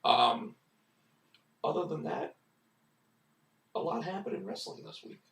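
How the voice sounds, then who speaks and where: Man, hoarse, rambling casual host, mid pitch, roomy and off-mic. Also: big pitch swings, a little slow, a bit bright, far from the mic. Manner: rambling, many restarts and "like" fillers. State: losing his voice, hoarse. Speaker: comic book writer and stream host. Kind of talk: casual live-stream chat.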